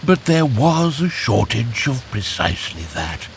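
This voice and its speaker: A man narrating a scripted children's fairytale in an expressive, animated storytelling way. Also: mid-range voice, a little slow, gravelly, slight background noise.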